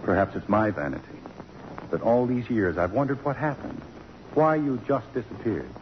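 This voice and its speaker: An actor playing a courtly, wistful middle-aged college president in 1950s radio drama style, gentle and hesitant. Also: male voice, medium pitch, animated, moderate pace, lightly acted. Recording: dull, noisy vintage radio recording.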